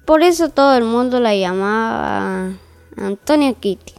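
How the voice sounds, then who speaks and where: A woman's voice: on the high side, expressive, unhurried, gravelly, a little mellow, smooth, soft background music. A woman playing a mild, easily flustered fairy-tale narrator in a comic audio story, hesitant and accommodating. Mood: storytelling.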